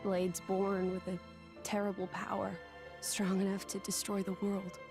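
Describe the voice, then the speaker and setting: A woman playing a gentle, solemn young woman who is an ancient living weapon, speaking in a measured, earnest way in a video game cutscene. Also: mid-range voice, completely flat delivery, over music, close to an everyday voice. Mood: solemn, reflective.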